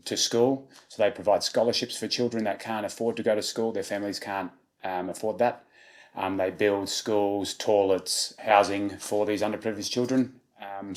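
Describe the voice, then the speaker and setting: Easygoing Australian man, lowish voice. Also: darkish tone. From South Australia. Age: 30s. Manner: easygoing, many ums and restarts. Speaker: charity walker. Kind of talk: casual interview answers.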